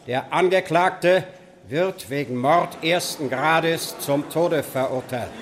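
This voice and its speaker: An actor playing a stern judge delivering a verdict in formal, measured tones in a radio play. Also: male voice, a little high, background noise.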